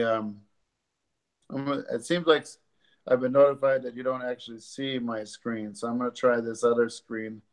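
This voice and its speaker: Older man, stuffy nose, halting with fillers, home webcam. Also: mid-range voice. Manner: halting, many ums and uhs. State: congested from allergies. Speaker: doctor hosting a live stream. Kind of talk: casual educational talk. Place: living room at home, sitting on a sofa. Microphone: webcam or laptop camera at desk distance.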